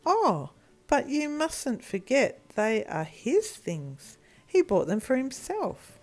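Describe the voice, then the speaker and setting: A woman voicing a calm, mild mother in a children's story, breezy and gently evasive. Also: high voice, slow pace, pitched above the performer's own voice, exaggerated voice.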